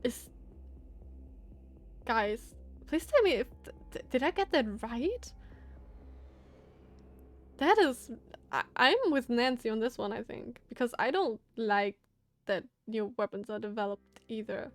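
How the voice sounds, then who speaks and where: A woman streaming a visual novel, reading narration aloud and commenting casually, often hesitant as she thinks out loud. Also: fairly high pitch, expressive, a little slow, pauses often.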